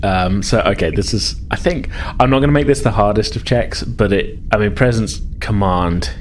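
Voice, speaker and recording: Low-voiced man running a tabletop game, noisy recording. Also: normal speed. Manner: hesitant, thinking aloud. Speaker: game master. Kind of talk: casual tabletop game narration. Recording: clearly noisy background.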